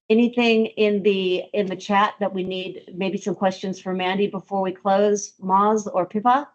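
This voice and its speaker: Woman, low voice, hesitant with ums, webinar host, dull lo-fi call sound. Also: darkish tone. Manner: hesitant, many ums and restarts. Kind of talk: webinar presentation. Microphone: video call.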